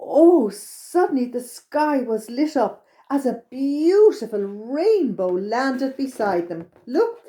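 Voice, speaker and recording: A woman's voice: high-pitched, sing-song, slow, hardly any pauses, warm tone. A woman with an Irish accent narrating a children's storytime expressively, exclaiming and repeating things for young listeners.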